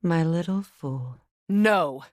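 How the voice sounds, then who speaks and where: An actress playing a proud, battle-hardened middle-aged warrior goddess in a video game, with a measured, commanding, dry manner. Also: female voice, sits low, expressive, dry recording. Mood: composed, dry. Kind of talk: video game character dialogue and battle callouts.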